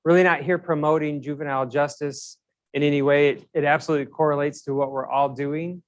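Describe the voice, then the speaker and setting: Slightly high-voiced man addressing a commission. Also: steady tone, quick pace, muffled recording. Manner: conversational, repeats words and uses many fillers. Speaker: physician and invited speaker. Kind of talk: informal presentation to a commission. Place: meeting room.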